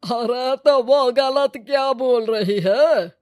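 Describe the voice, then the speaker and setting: A woman's voice: sits high, extremely slow, a little mellow. A woman playing a bossy, loving old grandmother in a family story, scolding in a playful, folksy way. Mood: nagging affectionately.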